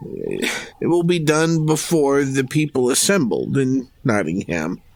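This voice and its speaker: An actor playing a meek, stammering, cowering medieval messenger, timid and obsequious, in an old radio drama. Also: male voice, medium pitch, huge pitch swings, takes it slowly, gravelly, dry recording, heavily acted. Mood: fearful, pleading. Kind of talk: old-time radio adventure acting, archaic English.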